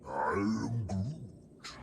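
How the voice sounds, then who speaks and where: A man voicing a gentle, calm tree-like alien creature of few words in a video game, with a gravelly, creaky voice. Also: on the low side, very slow, background noise, exaggerated voice.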